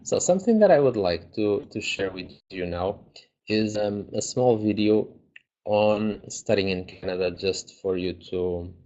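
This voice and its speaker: A man coordinating a graduate program, calmly giving advice in an online information session, with fillers and restarts. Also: fairly low pitch, unhurried. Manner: calm, advising, with fillers and restarts. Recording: dull lo-fi recording.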